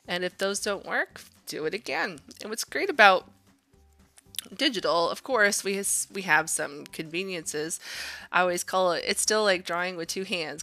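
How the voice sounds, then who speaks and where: Bright-voiced woman teaching, lowish pitch. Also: dry recording. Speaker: art instructor. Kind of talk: casual drawing tutorial narration over a screen demo.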